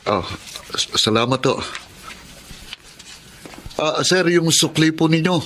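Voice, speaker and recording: A man's voice: on the high side, expressive, takes it slowly, pushed and bright, slight background noise, heavily acted. A man playing a humble, honest tricycle driver and caring eldest brother in a Tagalog radio drama, polite and earnest.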